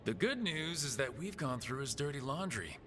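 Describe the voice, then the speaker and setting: An actor playing a pragmatic, determined fantasy hero in a video game, speaking plainly and with purpose. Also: male voice, medium pitch, animated, normal speed, a bit bright, a little hiss or hum, close to an everyday voice. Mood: serious. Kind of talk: dramatic video game dialogue. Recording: music behind the voice.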